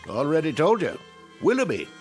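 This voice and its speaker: A man's voice: a little high, wildly swooping pitch, a little fast, soft background music. A man acting as a folksy, matter-of-fact train conductor from the 1880s in a radio drama. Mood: genial, matter-of-fact.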